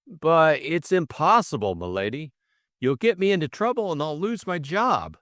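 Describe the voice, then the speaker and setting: A man's voice: on the high side, big pitch swings, moderate pace, fairly bright tone, no echo, clean studio sound, voice pushed up. A man voicing an anxious working-class servant in an audiobook, plaintive and deferential, with ungrammatical speech. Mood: wailing, dismayed.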